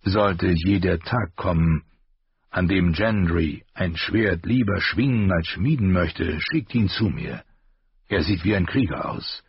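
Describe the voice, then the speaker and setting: A man voicing a grave, patient noble lord who speaks low, slow and measured. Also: gravelly, husky, dry recording, full character voice. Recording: dull lo-fi recording.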